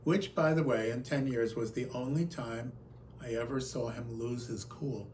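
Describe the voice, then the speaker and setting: A man giving a talk as a personal storyteller, recounting remembered exchanges in an animated manner. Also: mid-range voice, moderate pace, a little hiss or hum. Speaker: conference speaker.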